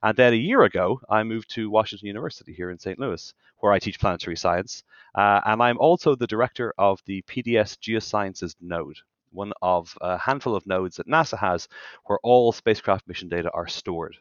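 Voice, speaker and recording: A man's voice: lowish voice, fairly quick, dry recording. Wry Irish man on a muffled call. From Ireland. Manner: chatty and wry, jokes about himself. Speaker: planetary science professor, guest. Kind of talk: casual interview chat. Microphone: remote call. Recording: dull dry call audio.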